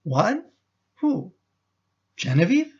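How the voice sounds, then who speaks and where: An actor playing an exasperated, judgmental adult son in a radio play, questioning and insistent. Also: male voice, high-pitched, wildly swooping pitch, extremely slow, a little mellow, husky, lo-fi sound, heavily acted. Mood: disapproving.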